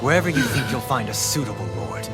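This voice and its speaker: An actor playing a composed, resolute nobleman in a video game cutscene, formal, measured and eloquent. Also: medium pitch, sing-song, over loud music, lightly acted. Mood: solemn.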